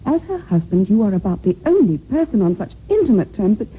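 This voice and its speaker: Pressing, earnest female secretary, radio mystery; lively pitch swings, thin phone-like vintage sound. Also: brisk, husky. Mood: insistent. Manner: insistent, pressing. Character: earnest, urgent secretary building a case, protective of her boss. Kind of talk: old-time radio drama acting. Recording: tinny old radio recording.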